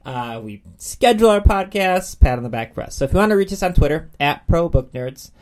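A man's voice: fairly high pitch, expressive, brisk, a little mellow. A man co-hosting a podcast in a casual, chatty, self-deprecating way about books. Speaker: podcast co-host. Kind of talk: casual book chat.

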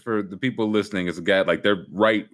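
A man hosting a casual sports podcast, talking in a conversational, halting way. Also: on the low side, steady tone, a bit bright. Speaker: show host.

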